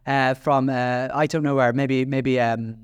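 A man's voice: medium pitch, flat delivery, measured pace, smooth, no room sound, studio quality. A man with an Irish accent hosting a solo podcast, chatty and self-deprecating, with frequent fillers and restarts. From Ireland. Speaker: podcast host.

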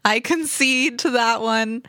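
A woman co-hosting a podcast in casual chat, quick and bubbly, laughing often. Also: fairly high pitch, pushed and bright, dry recording, clean studio sound. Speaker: podcast co-host.

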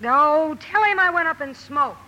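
A woman's voice: high voice, animated, unhurried, sharp tone, exaggerated voice. An actress playing a headstrong, brusque tomboy young woman who wants to write, in old-time radio drama style. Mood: brusque, impatient. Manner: brusque, emphatic. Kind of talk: 1940s-50s radio drama acting. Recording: noisy vintage radio broadcast recording.

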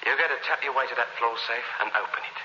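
An actor playing a cold, cynical killer in 1960s radio suspense style, terse and hard-boiled. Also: male voice, mid-range voice, fast, sharp tone. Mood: cold, menacing. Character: cold, cynical male killer posing as an heir, confessing. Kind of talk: 1960s radio suspense acting, first-person narration. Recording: noisy, muffled old radio recording.

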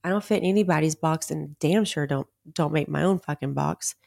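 Woman, low voice, raspy and worn, nearly losing her voice, blunt, swearing, dry close sound. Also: brisk, darkish tone, dry recording. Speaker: podcast host. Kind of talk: casual solo podcast talk.

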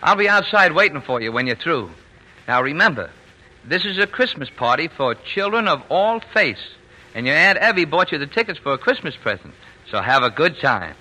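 An actor playing a gentle, indulgent working-class father in a 1940s radio drama, folksy, hesitant and tender. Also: a little high, very animated, moderate pace, full character voice. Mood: fond. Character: gentle, indulgent working-class papa, quietly scheming dreamer. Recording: noisy vintage 1940s radio recording.

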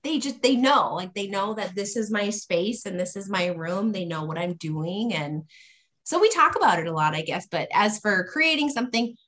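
Thoughtful woman guest, pausing with ums. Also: mid-range voice, animated, a bit bright. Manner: thoughtful, hesitant with frequent ums. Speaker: interview guest. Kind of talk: casual conversational storytelling.

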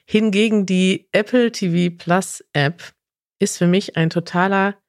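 A chatty woman co-hosting a podcast, talking emphatically and often correcting herself mid-sentence. Her voice sits at an ordinary middle pitch, and the recording is close and dry with no room sound. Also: fairly even intonation, a little slow, no room sound. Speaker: podcast co-host. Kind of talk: casual podcast chat. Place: new office.

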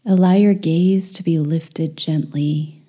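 A woman leading a guided meditation in a soft, breathy, near-whispered voice, gentle and soothing with long pauses. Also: deep voice, steady tone.

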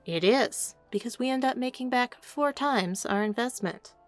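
An actress playing a crisp, unyielding studio executive in an audio drama, matter-of-fact and dry in manner. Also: female voice, fairly high pitch, moderate pace, soft background music, close to an everyday voice. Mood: businesslike. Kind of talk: scripted audio drama acting.

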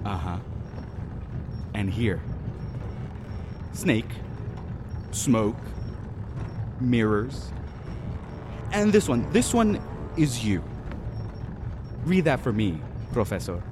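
A man's voice: mid-range voice, very animated, over music. An actor playing a vengeful Mayan man in an audio drama, mocking and menacing as he toys with an intruder. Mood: teasing. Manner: taunting, deliberate, menacing.

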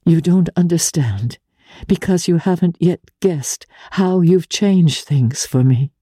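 Woman voicing a dignified, sorrowful lady, slow and earnest. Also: fairly low pitch, mellow, breathy edge, no room sound, studio quality, voice pushed up. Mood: earnest confession. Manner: measured, earnest, formal. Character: dignified, sorrowful married woman renouncing her lover. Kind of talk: dramatic literary audiobook reading.

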